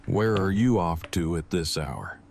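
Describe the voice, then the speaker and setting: Actor as deadpan, formal bookish man, earnest and wordy, lowish pitch; audio drama. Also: unhurried, gravelly, a little hiss or hum, exaggerated voice.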